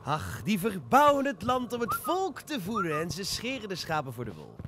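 A man's voice: sits high, wildly swooping pitch, moderate pace. A man playing a deferential, patient royal lackey in a 1950s-style radio play, formal and theatrical. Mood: explaining. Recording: faint background noise.

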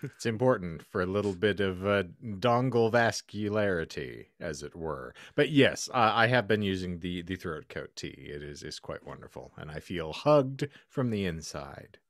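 Dude hosting a podcast, low voice, wordy n florid, jokey, dry mic. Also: male voice, animated, normal speed, no echo. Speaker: podcast co-host. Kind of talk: casual, joking podcast banter. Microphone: close podcast microphone, video call.

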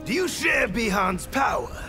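A man's voice: extremely high pitch, lively intonation, a little slow, sharp tone, soft background music, heavily acted. A man voicing a cocky young male fighter in a video game, speaking in a scornful, teasing way. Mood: taunting.

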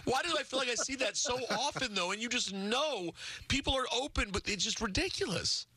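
A man's voice: very high voice, expressive, a little fast, pushed and bright, no room sound, rough recording. A man co-hosting a casual sports talk show and chatting with a guest. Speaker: sports radio co-host.

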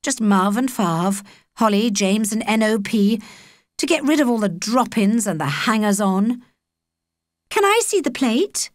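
A woman with a British accent narrating a children's audiobook in an expressive, measured storytelling manner. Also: medium pitch, no echo, studio quality. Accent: British English.

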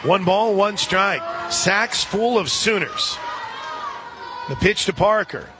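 A man doing live radio play-by-play of a softball game in a conversational way, with folksy asides. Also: sits high, animated, slow. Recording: crowd noise and music behind. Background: ballpark crowd and music.

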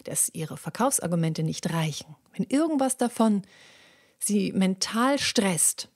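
A woman hosting a podcast, explaining how the voice works in a lively, chatty monologue with many fillers and repeats. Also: mid-range voice. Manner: lively, acts out little dialogues in quick voices; frequent fillers and repeated words. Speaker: podcast host and voice coach.

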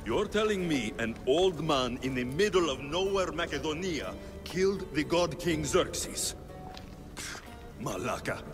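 Game hero: gruff Greek-accented mercenary, demanding, lively swings in pitch, strong music behind. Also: male voice, measured pace. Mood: incredulous, probing. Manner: blunt, demanding. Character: gruff, impatient young Greek mercenary warrior. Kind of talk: dramatic game cutscene acting. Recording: music under the voice.

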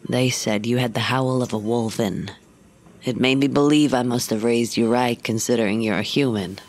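An actress playing a gruff, protective female wolf-creature who raised a human girl, talking bluntly with gentle teasing, in an audio drama. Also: extremely low pitch, normal speed. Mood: fond.

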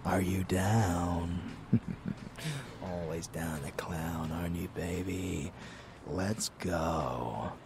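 A man's voice: deep voice, steady tone, takes it slowly, background noise. A man playing a cocky, murderous vampire lover in a roleplay audio drama, intimate, drawling and menacing, doting on the listener. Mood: intimate, scheming.

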